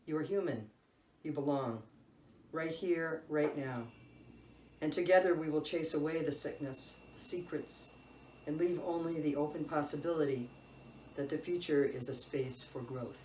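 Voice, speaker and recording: Male worship reader, fairly high voice, solemn recitation, very roomy sound. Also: normal speed, darkish tone. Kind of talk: solemn read-aloud liturgical reading. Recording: roomy echo, distant mic, faint noise.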